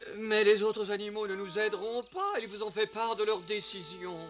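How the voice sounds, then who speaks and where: Nervous elephant courtier, high voice, fussy, hesitant, plaintive, deferential, cartoon. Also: male voice, moderate pace, fairly bright tone, soft background music. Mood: doubtful. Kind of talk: French-dubbed cartoon acting.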